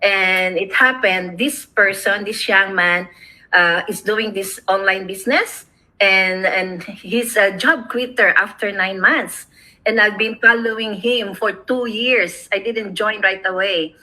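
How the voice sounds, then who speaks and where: Filipino-accented woman, middle-aged, bright voice, rambling casual chat, video call. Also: medium pitch, a little slow, low-quality recording. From Philippines. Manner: chatty, rambling with many fillers and restarts. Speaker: online business owner, co-host.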